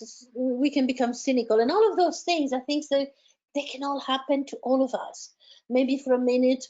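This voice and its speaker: Halting woman coach, slightly high voice. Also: darkish tone, muffled recording. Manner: hesitant, many repeated words and fillers. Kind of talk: advisory talk on coping with stress.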